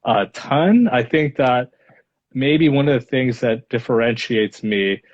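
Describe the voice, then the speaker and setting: Man in his 30s, Spanish his first language, thoughtful and hedging guest, mid pitch, dull audio. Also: animated, slow. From Los Angeles. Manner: reflective, hedging with many fillers. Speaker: guest speaker, lawyer. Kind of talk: casual interview answers.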